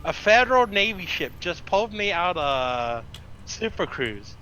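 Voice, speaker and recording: A man's voice: sits high, very animated, measured pace, sharp tone. A man bantering casually as a gaming friend, with a wry, teasing way of talking. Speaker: streamer's friend playing along. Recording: dull voice-chat sound, faint noise.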